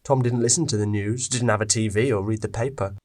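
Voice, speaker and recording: A man's voice: lowish voice, fairly even intonation, fairly quick, a little mellow. A man narrating a scripted story as its reader.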